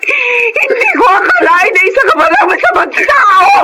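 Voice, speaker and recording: A woman's voice: very high-pitched, big pitch swings, normal speed. A woman playing a sobbing, grief-stricken mother. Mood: anguished. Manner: shouting through sobs. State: crying. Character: grieving mother confronting her husband. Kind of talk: Filipino radio-style drama acting. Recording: loud background noise.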